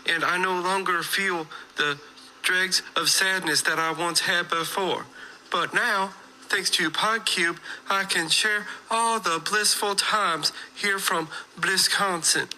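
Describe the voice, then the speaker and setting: A sweet, folksy customer played by a man gives an earnest comic testimonial with oddly formal phrasing. He talks slowly in a high, extremely bright and tense voice. Faint noise sits behind him. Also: full character voice, poor audio.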